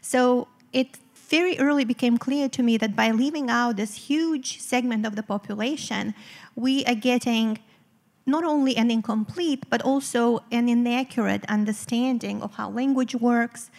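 A woman from Eastern Europe, a native Romanian speaker, talks through her research in a clear, explanatory way, with the odd filler. Her voice sits fairly high. It carries the long reverb of a theater stage. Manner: articulate, explanatory, occasional fillers. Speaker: guest researcher. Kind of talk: live stage interview. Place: theater stage before a live audience.

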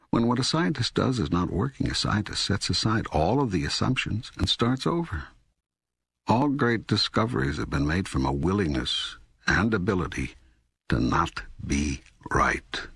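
An older man playing a patient, all-knowing God in an audiobook dialogue, speaking in a deliberate, emphatic, teaching manner. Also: deep voice, sing-song, vocal fry, a bit bright, breathy edge, dry recording, studio quality, full character voice. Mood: firm, instructive.

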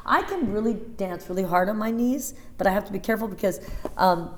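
A woman in her fifties, a dancer, chatting in an interview and telling animated stories with many restarts and asides. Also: mid-range voice, a little fast. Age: 50s. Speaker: interview guest, Broadway dancer. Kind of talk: casual interview chat.

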